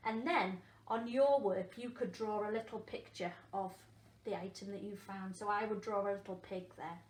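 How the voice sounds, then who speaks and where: A woman, a primary school teacher, leading an interactive phonics lesson for young children, encouraging them and asking questions with pauses for answers. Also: medium pitch, steady tone. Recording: echoey room with faint background noise.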